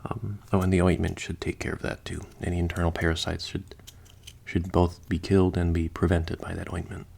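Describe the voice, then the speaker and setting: A man doing an ASMR-style roleplay as a mortician for zombies, talking in a soft, unhurried way that is partly whispered and gently rambling. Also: low voice, little pitch movement, gravelly, husky. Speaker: audio roleplay performer playing a zombie mortician.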